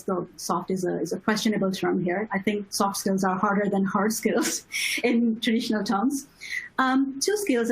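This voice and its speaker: A woman from Pakistan with a Pakistani accent, moderating an online panel discussion in a reflective, measured way. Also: medium pitch, slightly rough, rough recording. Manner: reflective, measured, asks follow-up questions.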